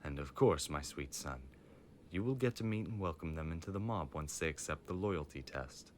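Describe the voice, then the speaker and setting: A man's voice: extremely low pitch, lively intonation, normal speed, a little hiss or hum. A man playing a stern, menacing mafia boss father with a formal, commanding manner in an audio roleplay. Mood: smug, commanding. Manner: formal, commanding, coldly threatening.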